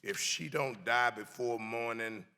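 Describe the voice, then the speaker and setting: A man giving a solemn, emphatic dramatic reading of a historical text in a deliberate, theatrical way. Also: mid-range voice, vocal fry, bright, forceful tone, smooth. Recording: echoey hall with long reverb.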